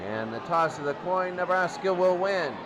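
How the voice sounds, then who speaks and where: Man calling football, high voice, noisy. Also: moderate pace. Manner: explains rules plainly, then calls plays in an energetic radio-announcer voice. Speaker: hobbyist game host and play-by-play announcer. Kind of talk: simulated old-time radio football play-by-play.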